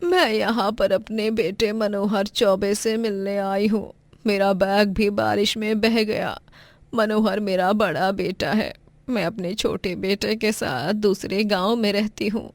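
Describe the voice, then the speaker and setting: A woman acts out an elderly village mother in a cartoon moral tale. Her voice is creaky and dry-recorded. She is kindly, grateful and affectionate. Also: mid-range voice, fairly even intonation, unhurried, no room sound, lightly acted. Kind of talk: Hindi cartoon moral story acting.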